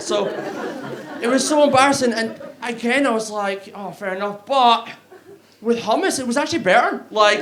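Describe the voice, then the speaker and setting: A young Glaswegian man works a comedy club stage with a handheld mic. His voice sits fairly high, and he rambles in a chatty, self-mocking way, chuckling at his own jokes. The live audience and room noise are clearly audible behind him. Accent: Glaswegian Scottish. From Scotland. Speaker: stand-up comedian. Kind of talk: stand-up comedy set.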